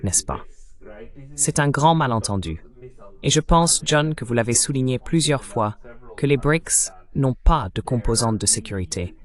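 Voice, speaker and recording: A man's voice: medium pitch, animated, measured pace. A man reading a voice-over translation of a political interview. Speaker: French voice-over translating interview host and guest.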